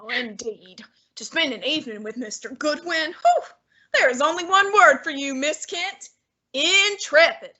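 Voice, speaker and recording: A woman playing a pompous male genius detective, using precise and measured diction in a student radio drama. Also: sits high, lively intonation, sharp tone, full character voice. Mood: wry. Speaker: student actor.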